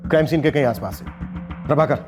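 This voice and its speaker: A man's voice: mid-range voice, big pitch swings, brisk, darkish tone, soft background music, lightly acted. An actor playing a stern senior police officer who gives firm orders to his team. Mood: commanding. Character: stern adult male police chief.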